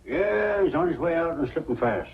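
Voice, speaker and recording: A man's voice: on the high side, expressive, moderate pace, slightly rough, exaggerated voice. An actor playing a breezy, irreverent small-town errand man, with folksy, wry delivery in a 1940s film style. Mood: wry, casual. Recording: noisy, dull 1940s film soundtrack.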